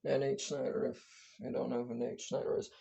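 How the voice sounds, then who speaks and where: A hoarse speaker whose voice is giving out, giving a casual, rambling weather briefing as an amateur forecaster, stumbling and correcting themselves often. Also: medium pitch, level tone, fairly quick, warm tone. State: hoarse, losing voice. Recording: dull lo-fi recording.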